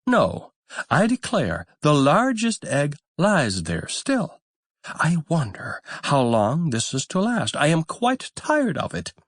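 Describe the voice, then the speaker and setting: A man's voice: a little high, huge pitch swings, slow pace, no echo, studio quality, voice pushed up, exaggerated voice. A man voicing a weary, proud mother duck from a fairy tale, with a fussy, motherly, exclamatory manner.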